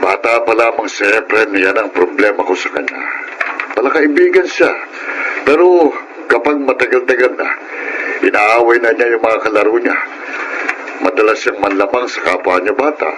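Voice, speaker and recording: Actor as a low-voiced Filipino grandfather. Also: moderate pace, pauses often, noisy background, lightly acted. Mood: grave, confessing. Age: older. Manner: grave, confiding. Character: earnest, protective older father-in-law and grandfather. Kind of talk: Filipino radio-style melodrama acting.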